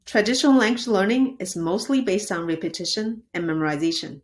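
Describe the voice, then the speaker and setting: A woman who founded a language school, giving an earnest, clear, rehearsed pitch. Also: brisk.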